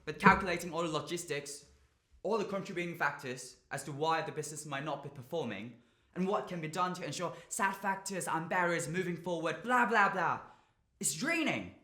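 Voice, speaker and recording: Casting: actor as stressed young man, bright higher-pitched voice, bitter and confessional, stage monologue in an echoey room. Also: lively intonation, a little fast. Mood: frustrated, drained. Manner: confessional, sweary, emotionally volatile. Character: stressed, drained young man confiding bitterly, burdened by family. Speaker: drama student actor.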